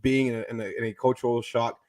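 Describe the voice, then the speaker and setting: A man's voice: medium pitch, flat delivery, fast, darkish tone, dry recording. A man with a Rio Grande Valley accent hosting a podcast, loose and joking, swearing casually and slipping in Spanish words. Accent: Texas Rio Grande Valley. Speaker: podcast host, realtor.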